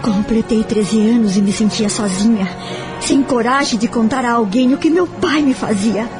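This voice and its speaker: A woman's voice: on the high side, normal speed, over music, lightly acted. A woman with a Brazilian accent acting a wounded young woman who confides her past in an audio novel. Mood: sorrowful. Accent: Brazilian Portuguese. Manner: sorrowful, confiding.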